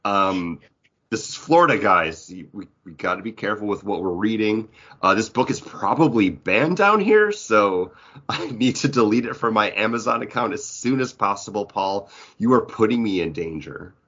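Man, low voice, casual podcast banter, playful exaggeration, slightly dull lo-fi audio. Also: lively intonation, normal speed, fairly bright tone. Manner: jokey mock outrage and exaggeration. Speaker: podcast co-host.

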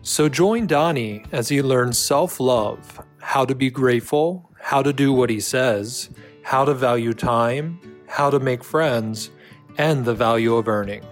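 A man reading a scripted advertisement in a smooth, promotional delivery. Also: mid-range voice, measured pace. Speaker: ad announcer. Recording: faint guitar music behind.

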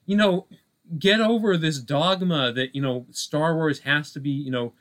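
A man co-hosting a casual film discussion podcast, speaking haltingly and peppering his sentences with "you know" and "like". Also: on the high side, a bit bright. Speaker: podcast co-host.

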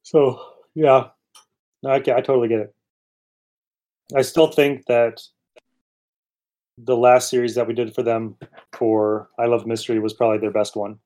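A man's voice: medium pitch, flat delivery, moderate pace, long pauses, warm tone. A man co-hosting a casual chat show, with a dry, joking way of talking. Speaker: podcast co-host. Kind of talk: casual chat reviewing old radio serials.

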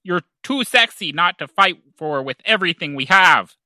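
Very bright, emphatic man in audio drama. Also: high-pitched, lively intonation, moderate pace, no room sound, studio quality. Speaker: podcast host performing in a comic play. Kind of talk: comic audio drama acting.

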